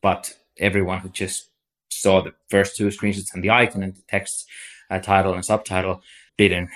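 Finnish man with a lowish voice. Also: flat delivery, moderate pace, a bit bright. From Finland. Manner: explanatory, many fillers like "like" and "so". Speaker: agency CEO, guest. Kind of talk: podcast interview.

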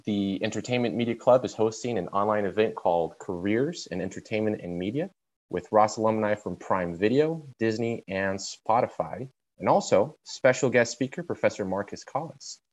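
Low-voiced young man from Brazil. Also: darkish tone, poor audio. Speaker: podcast co-host. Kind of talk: reading announcements, then casual chat.

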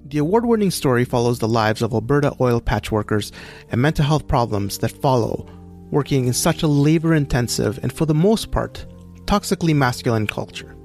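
Man, podcast host, scripted narration, medium pitch, light music behind. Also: expressive, fairly quick, darkish tone.

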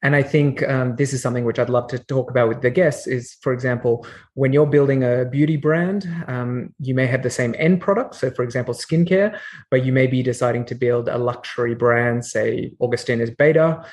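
An Australian man speaking in a middle pitch as a podcast guest. He explains at a measured pace and often pauses on fillers. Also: steady tone, darkish tone. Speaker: guest, company CEO. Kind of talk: podcast interview.

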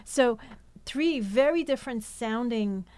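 A woman's voice: on the high side, animated, slow, darkish tone, no echo. A woman born in France, a cookbook author, chatting as an interview guest, talking with many fillers and restarts. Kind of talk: casual interview chat.

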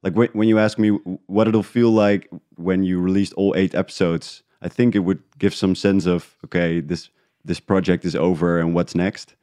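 Really deep smooth guy chatting in an interview, kinda halting, thinking out loud. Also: level tone, dry recording, studio quality. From Netherlands. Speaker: guest, independent podcast creator. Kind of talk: casual interview conversation.

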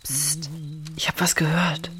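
Low-voiced, matter-of-fact girl. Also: flat delivery, measured pace, background noise. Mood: hushed, alert. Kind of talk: children's audio drama acting.

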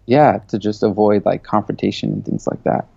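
A man in his thirties, raised in the US, answers interview questions in an easygoing, rambling way, with frequent fillers. His voice sits a bit low. The recording is dry and slightly dull, as from a small treated studio. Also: level tone, normal speed, gravelly, dark tone, slightly rough, no echo. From born in Saudi Arabia, raised in the US. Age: 30s. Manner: loose, filler-heavy talk with many "you know"s and "like"s. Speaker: interview guest, media creative. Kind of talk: casual conversational interview.

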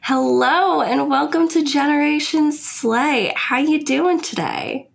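A woman's voice: high voice, slow pace, gravelly, soft background music. A young woman hosting an interview podcast, gushing and effusive with her guest. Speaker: podcast host.

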